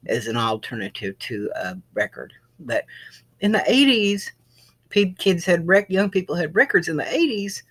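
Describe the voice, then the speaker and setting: A middle-aged woman giving an informal, rambling explanation to viewers, often circling back to repeat her phrases. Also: sits low. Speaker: video creator.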